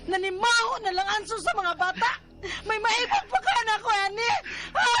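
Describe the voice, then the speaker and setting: A woman's voice: very high voice, animated, normal speed, pushed and bright, heavily acted. A woman playing an emotional, pleading young wronged mother in a radio drama, with a Cebuano Filipino accent. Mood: angry, tearful. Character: Liza, distraught young mother wronged by her jealous partner.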